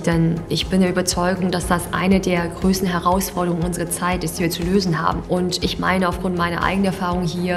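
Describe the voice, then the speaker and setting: A woman's voice: fairly low pitch, in a large hall. A woman in her twenties from China, speaking earnestly and reflectively as a startup founder, with small hesitations. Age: 20s. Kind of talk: personal portrait interview.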